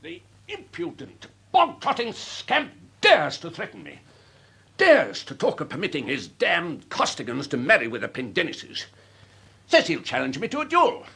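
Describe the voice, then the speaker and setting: An actor playing a proud, irascible older upper-class English major in a period radio drama, clipped and pompous. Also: male voice, on the high side, huge pitch swings, moderate pace, creaky, bright, forceful tone, slightly rough, heavily acted. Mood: furious.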